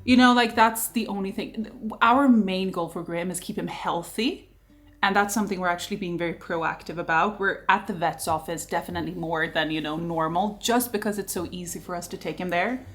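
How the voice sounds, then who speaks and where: A woman in her thirties with a Swedish accent, hosting a casual question-and-answer chat in a lively, talkative way and laughing often. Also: on the low side, a little fast. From Sweden. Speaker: vlogger host.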